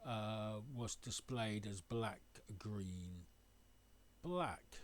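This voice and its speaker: A man talks through his sailing trip at a very slow, unhurried pace. His pitch sits a little low, and he stops often with uhs and ums between short phrases. It sounds like a recorded voice-over for a travel vlog. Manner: hesitant, full of uhs and ums. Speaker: sailing vlogger.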